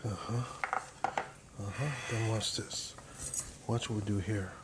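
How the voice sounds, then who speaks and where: A man hosting a cooking ASMR video in a casual, playful, soft-spoken way, often slipping toward a whisper and repeating his phrases. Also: on the low side, fairly even intonation, slow. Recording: clear sizzling and frying noise. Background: food sizzling, kitchen stirring sounds.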